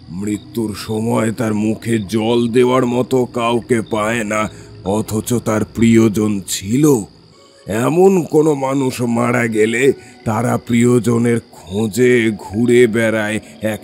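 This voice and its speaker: A man playing a stern, ominous village exorcist in a horror audio drama, solemn, deliberate and full of warning. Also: medium pitch, a little mellow.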